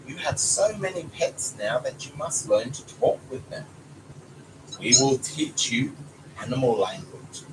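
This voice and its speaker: A man's voice: medium pitch, sing-song, measured pace, recorded from a distance. A man voicing a bossy, instructive parrot from a children's storybook. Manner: commanding, instructive. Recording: noisy home recording with background sounds.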